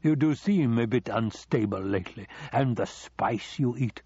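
A man voicing a scheming, silkily menacing older nobleman in an audiobook, with slow, drawn-out taunts. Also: medium pitch, warm tone, husky, dry recording, highs cut off. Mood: silky mockery.

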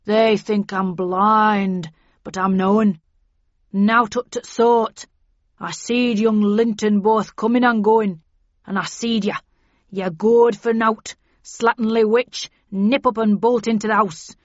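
A woman's voice: medium pitch, steady tone, slow, no echo, close to an everyday voice. A sour old Yorkshire manservant, performed by a woman, grumbles and rants in thick dialect. He is indignant and cantankerous, in a storybook reading with a dry, slightly dull sound. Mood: indignant ranting.

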